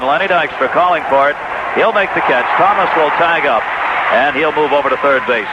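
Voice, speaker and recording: A man doing live baseball play-by-play in clipped descriptive phrases, in the style of 1980s radio sports commentary. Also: mid-range voice. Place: stadium broadcast booth. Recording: dull, noisy old AM radio broadcast.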